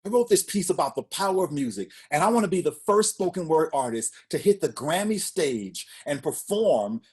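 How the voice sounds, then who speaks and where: A man's voice: fairly high pitch, moderate pace, breathy edge. A man in his forties, a spoken word poet, talking casually as an interview guest and telling stories with emphatic rises. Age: 40s. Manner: expressive storyteller, emphatic rises.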